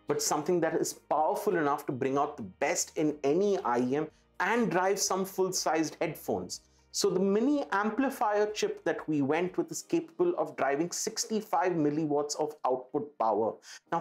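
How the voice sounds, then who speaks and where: A man's voice: a little high, a little mellow. A man presenting a product for his company in an emphatic way, stressing key words by repeating them. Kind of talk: product pitch to camera. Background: background music.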